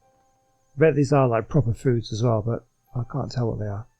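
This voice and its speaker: Guy chatting over a game he's playing, soft dark tone, bit husky. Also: mid-range voice, normal speed, lo-fi sound, faint music behind. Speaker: gaming host. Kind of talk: casual playthrough commentary.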